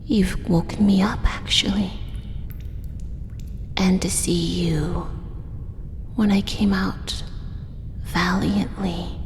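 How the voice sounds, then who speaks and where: Casting: woman to play yandere spider monster lady for ASMR audio drama, soft whispers with gravelly edges, tender and menacing, long cavernous reverb. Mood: fond, sinister. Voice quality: soft-spoken, breathy whispering. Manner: slow, tender, menacingly intimate coaxing. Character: possessive, doting female drider spider monster, yandere. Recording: echoey cave-like reverb, faint rumbling noise.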